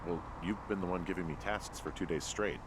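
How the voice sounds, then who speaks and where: A man playing a laconic, sardonic fire lookout in a video game, deadpan and a little hesitant. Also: deep voice, brisk, noisy background, close to an everyday voice. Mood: dry, joking. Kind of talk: naturalistic video game voice acting.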